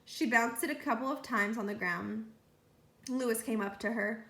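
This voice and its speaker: A woman reading a children's book aloud as a storyteller, with animated delivery. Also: on the high side, moderate pace. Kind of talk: read-aloud storytelling narration. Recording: roomy echo.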